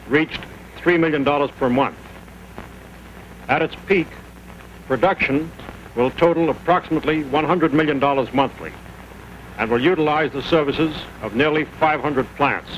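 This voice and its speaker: A man with an American accent, a senior naval officer reading a formal official statement on 1940s film. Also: medium pitch. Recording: dull 1940s film sound, faint noise.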